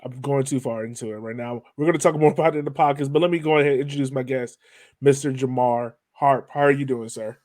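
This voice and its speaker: A man's voice: mid-range voice, steady tone, fast, a little mellow, no echo. Casual male podcast host, close dry sound. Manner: informal, chatty, addresses guest familiarly. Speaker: podcast host interviewing a guest. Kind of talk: casual conversational podcast interview.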